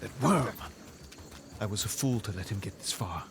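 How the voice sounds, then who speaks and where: A man's voice: on the low side, expressive, moderate pace, vocal fry, fairly bright tone, slight background noise. An actor playing a noble, tender king with earnest feeling in an audio drama. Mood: tender resolve. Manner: earnest, emotional, commanding. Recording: music behind the voice.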